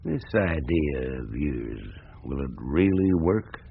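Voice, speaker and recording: Folksy older American businessman, radio drama. Deep, husky, lively swings, proud and plain-spoken. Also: takes it slowly, narrow, tinny audio, heavily acted. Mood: curious, genial. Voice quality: gravelly, husky.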